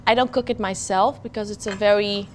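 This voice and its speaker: A woman from Afghanistan, a guest in a kitchen, talking in a friendly way with frequent pauses and fillers. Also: mid-range voice, steady tone, slight background noise. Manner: friendly, hesitant with many fillers. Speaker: guest, marketing manager. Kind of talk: casual chat.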